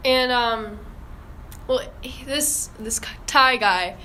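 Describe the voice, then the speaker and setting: A woman's voice: fairly high pitch, drawn-out words, pushed and bright, slight background noise. A young woman hosting a casual podcast discussion in an informal, hesitant way. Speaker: podcast host.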